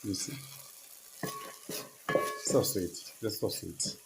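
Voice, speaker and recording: A man with a Tanzanian accent chats casually to viewers as he demonstrates cooking, in halting, simple non-native English with short repeated phrases. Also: lowish voice, vocal fry. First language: not English. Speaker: cooking vlogger. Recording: echoey, noisy with cooking sizzle.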